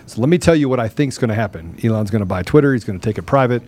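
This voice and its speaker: A man speaks as the host of a live talk show, in a voice of average pitch. His delivery is casual, and he tends to repeat phrases to drive a point home. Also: lively intonation, racing, darkish tone. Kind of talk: casual live talk, sometimes reading questions aloud.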